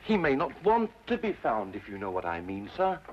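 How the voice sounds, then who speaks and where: A stubborn British servant at a gentlemen's club, deferential yet unbudging. His pitch moves up and down a lot, on a muffled, faintly noisy old radio recording. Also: huge pitch swings, moderate pace. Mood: guarded, stubborn. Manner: deferential but unyielding. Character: stubborn, discreet working-class male servant at gentlemen's club. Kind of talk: old-time radio drama acting.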